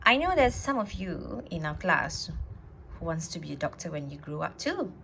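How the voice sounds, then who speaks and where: Bright, animated woman reading to young children. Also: fairly low pitch, creaky, a little hiss or hum, rough recording. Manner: teacherly, expressive, drawn-out words. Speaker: storybook reader for young children. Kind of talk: read-aloud storytelling with questions to children.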